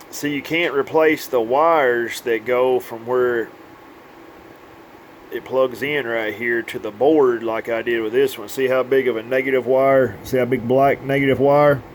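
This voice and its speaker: A man's voice: medium pitch, a little hiss or hum. A man giving an informal, hands-on update as a radio repair technician, with plain, folksy phrasing. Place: indoors at a repair workbench.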